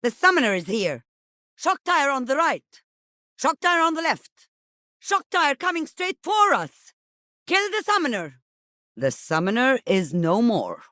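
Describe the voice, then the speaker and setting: A woman playing an urgent, excitable battle lookout in a video game, with dramatic, forceful delivery. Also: high-pitched, unhurried, dry recording, clean studio sound, exaggerated voice. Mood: urgent, alarmed.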